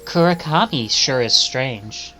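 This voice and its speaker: A man's voice: medium pitch, animated, slow pace, pushed and bright, a little hiss or hum. A man reading visual novel text aloud as a streamer, stumbling and correcting himself, with casual asides.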